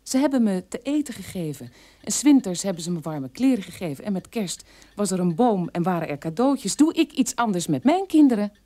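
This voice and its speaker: An actress playing a bitter adult daughter of a war criminal, recounting her childhood in an emphatic, halting radio play monologue. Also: mid-range voice, very animated, dark tone. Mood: resigned, questioning.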